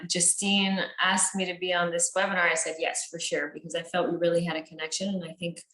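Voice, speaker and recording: A woman's voice: fairly low pitch, fairly bright tone. Canadian-born woman in an echoey room. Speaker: guest. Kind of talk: casual interview talk.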